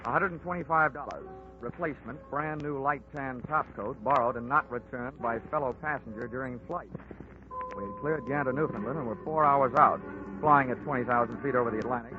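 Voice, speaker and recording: Wisecracking man narrating a detective drama. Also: mid-range voice. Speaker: insurance investigator and narrator. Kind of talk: 1940s radio acting. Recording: lo-fi old radio recording with music.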